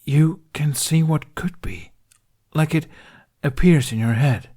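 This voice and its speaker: A man's voice: a little high, expressive, takes it slowly, mellow, breathy edge, pitched above the performer's own voice, heavily acted. Guy doing a protective dragon for an audiobook, super earnest, pushes his words hard. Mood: frustrated, urgent. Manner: emphatic, insistent. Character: earnest, protective male dragon, fiercely loyal and exasperated.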